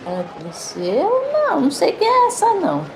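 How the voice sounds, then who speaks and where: A woman's voice: high-pitched, huge pitch swings, normal speed. An older woman with a Northeastern Brazilian accent from Recife, telling her story conversationally as a personal testimony. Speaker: community educator and resident giving testimony. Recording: noisy interview with background ambience. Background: clear background noise and ambience.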